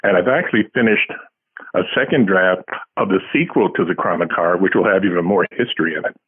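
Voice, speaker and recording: A husky, low-voiced man around seventy speaks as an interview guest over a thin phone line. He answers casually, in a modest and reflective way. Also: brisk, no echo. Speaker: guest, science fiction writer. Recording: tinny, band-limited phone line.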